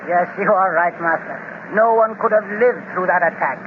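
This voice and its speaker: A servile henchman, played by a man, answers his master in a stiff, formal, high voice. It is a 1930s radio serial. The sound is thin and phone-like, with faint hiss behind it. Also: normal speed, natural voice. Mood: deferential. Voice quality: stiff, formal, deferential speech. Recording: tinny, hissy old radio transcription.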